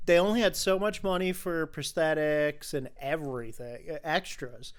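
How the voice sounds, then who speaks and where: Podcast host, mid-pitched and clean-voiced, casual and explanatory film chat. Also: normal speed, clean tone.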